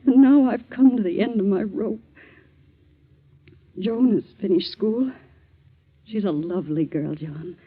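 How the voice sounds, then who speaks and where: A woman's voice: mid-range voice, measured pace, long pauses, slightly rough. An actress playing an ailing, remorseful middle-aged mother in a 1940s radio drama, weary and pleading. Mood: desperate pleading. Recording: muffled, scratchy 1940s radio broadcast.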